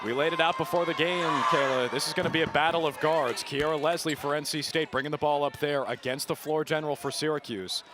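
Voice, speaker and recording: A man's voice: on the high side, steady tone, fast, few pauses. A man in his early twenties working as a sportscaster, delivering play-by-play commentary and sports reports. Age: early 20s. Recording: clear background noise from the venue.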